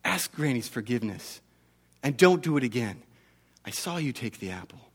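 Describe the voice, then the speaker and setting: A man voicing a kindly old shoemaker who speaks gently and quietly. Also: mid-range voice, big pitch swings, moderate pace, breathy edge. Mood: gentle.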